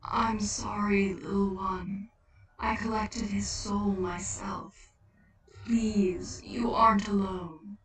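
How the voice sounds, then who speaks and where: A woman voicing Death as a gentle, sorrowful, ageless spirit who speaks softly and soothingly in a story reading. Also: medium pitch, fairly even intonation, very echoey, far from the mic, faint music behind, low-quality recording. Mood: sorrowful, consoling. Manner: soft, slow, soothing.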